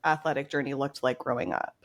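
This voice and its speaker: A podcast host asking casual interview questions, friendly and quick to laugh along, with a lot of "like" and "kinda". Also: medium pitch, expressive, a bit bright.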